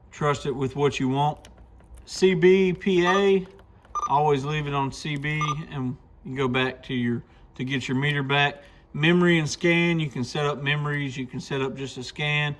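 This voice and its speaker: A man's voice: fairly high pitch, a bit bright, slight background noise. A man giving a casual, plainspoken hands-on product walkthrough, explaining things step by step in a folksy way. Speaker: radio shop owner presenting a product demo. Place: radio shop, indoors.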